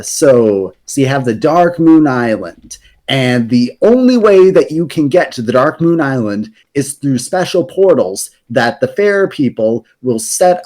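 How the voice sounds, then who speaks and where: A man's voice: medium pitch, a little mellow. A man on a podcast explaining fantasy game lore in a casual, rambling way, with many fillers and restarts. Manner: rambling, self-correcting, hesitant fillers.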